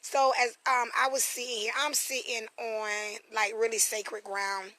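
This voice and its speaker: Hoarse chatty woman on a phone live stream. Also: on the high side, steady tone, bright, forceful tone, dry recording, low-quality recording. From South Carolina, USA. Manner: chatty, greets viewers by name, drawn-out asides. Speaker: spiritual reader and vlogger. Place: outdoors on family land in the country. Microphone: phone camera.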